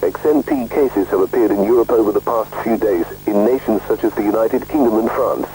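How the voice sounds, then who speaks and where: A man's voice: lowish voice, brisk, natural voice. A man playing a formal bulletin announcer, reading a scripted crisis report impersonally. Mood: serious, formal. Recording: noisy radio-style broadcast.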